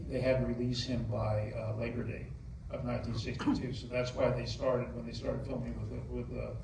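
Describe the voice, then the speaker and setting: A man with a gravelly edge answers questions at a movie theater Q&A, stopping and restarting as he reaches for names and figures. His voice sits at an ordinary pitch but comes from a distance, and it rings through a big, reverberant theater hall. A steady hiss of noise runs underneath. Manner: halting, many fillers and restarts, detail-heavy. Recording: echoey theater hall, distant mic, noisy.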